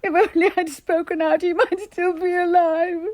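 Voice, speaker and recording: A woman's voice: very high voice, flat delivery, moderate pace, darkish tone, dry recording, studio quality. A woman acting in a radio drama as a genteel, normally self-possessed lady who is distraught. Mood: anguished, guilty. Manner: genteel, emotional.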